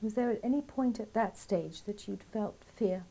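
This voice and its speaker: A woman interviewer with a mellow, dark tone who asks short, plain questions. Her voice sits at a middle pitch. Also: moderate pace, creaky, poor audio. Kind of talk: oral history interview questions.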